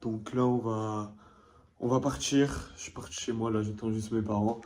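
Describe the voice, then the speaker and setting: A man's voice: fairly low pitch, normal speed, a little hiss or hum. A young man, a vlogger and player, chatting casually and talking straight to his viewers. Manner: relaxed, talks straight to viewers. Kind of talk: casual vlog chat.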